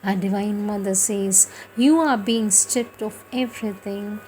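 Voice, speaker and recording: A woman reciting spiritual prose devotionally, in a solemn, declamatory manner with measured phrasing and pauses. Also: medium pitch, steady tone, a little mellow, a little hiss or hum. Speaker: reader of spiritual writings.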